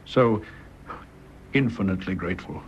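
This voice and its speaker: An actor playing a grave, heartfelt gentleman in a radio drama. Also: low-pitched, normal speed, natural voice. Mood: grateful. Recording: muffled, faintly hissy radio recording.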